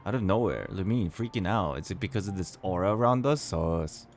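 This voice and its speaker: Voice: sits low, animated, brisk, music in the background. A streamer giving casual, playful commentary on a game playthrough, improvising along with the story.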